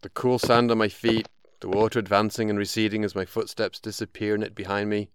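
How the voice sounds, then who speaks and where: A man's voice: lowish voice, flat delivery, brisk, smooth, lightly acted. Man acting as a weary, wistful elderly widower. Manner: reflective, wistful, poetic. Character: grieving elderly widower looking back on his life, weary. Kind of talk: audio drama acting with monologue.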